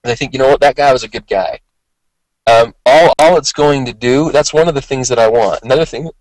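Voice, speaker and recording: A man's voice: medium pitch, a little fast, a little mellow, no echo, poor audio. A man giving a motivational sales training talk in a voice worn from a long day of talking, conversational and emphatic, often repeating himself. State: voice worn from talking all day, occasional cough.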